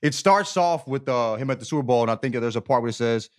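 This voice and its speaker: A man's voice: medium pitch, fast talker. Earnest man in casual podcast chat. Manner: profane, repeats "you know what I'm saying". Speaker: podcast co-host. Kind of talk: casual book club chat.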